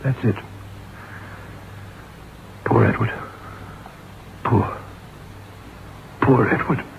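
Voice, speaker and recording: An actor playing a haunted, frightened novelist who tells his tale deliberately in an old-time radio drama. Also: medium pitch, gravelly, a little hiss or hum. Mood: shaken, grieving. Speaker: actor and narrator. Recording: muffled old radio recording, music behind.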